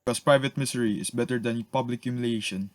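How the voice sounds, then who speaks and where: A man's voice: medium pitch, steady tone, quick pace, no room sound, lightly acted. A man playing a stern, suspicious lord and jealous husband in a radio drama, pompous and sneering. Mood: bitter scorn. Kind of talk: melodramatic radio drama acting.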